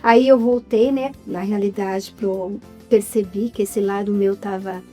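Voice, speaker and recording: A woman's voice: mid-range voice, measured pace, soft background music. A woman in her fifties with a Brazilian Portuguese accent, an actress chatting casually as an interview guest, now and then turning to the audience. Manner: lively, addresses the audience as "gente".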